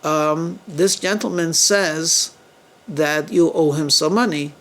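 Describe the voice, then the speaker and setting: A man voicing a shrewd, stern rabbi in a told story, speaking with deliberate authority. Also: on the high side, fairly bright tone. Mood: calm, persuasive. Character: shrewd, commanding male rabbi, stern judge of wrongdoers.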